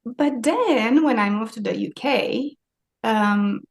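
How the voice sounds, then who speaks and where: A woman's voice: fairly high pitch, takes it slowly, vocal fry. A woman in her forties with a Romanian accent, answering interview questions in a reflective, hesitant way. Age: 40s. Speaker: interview guest, maritime sustainability leader.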